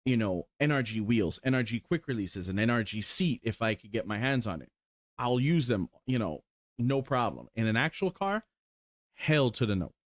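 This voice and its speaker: Man, 40s, casual rambling streamer, excitable, salty language, dry close sound. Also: mid-range voice, normal speed, dry recording. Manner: rambling, excited, swears casually, lots of ums. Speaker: sim racing streamer. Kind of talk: casual chat while showing cars.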